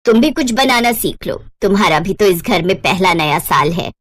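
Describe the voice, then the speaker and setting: A woman voicing a middle-aged mother-in-law in an animated storybook tale, firm but encouraging as she instructs. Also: medium pitch, moderate pace, no room sound, natural voice. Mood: instructing.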